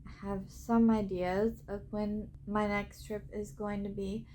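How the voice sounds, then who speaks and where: A woman travel vlogger talking casually to camera with a head cold and a scratchy throat, in a chatty way full of ums. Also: medium pitch. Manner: slow, relaxed, chatty with filler ums. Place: cruise ship cabin.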